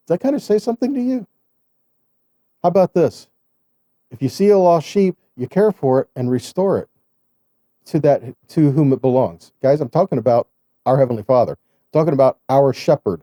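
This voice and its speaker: A man's voice: on the high side, dark tone, no room sound, studio quality. A man giving a conversational sermon as a Bible teacher, reading scripture and explaining it with rhetorical questions and frequent check-in tags.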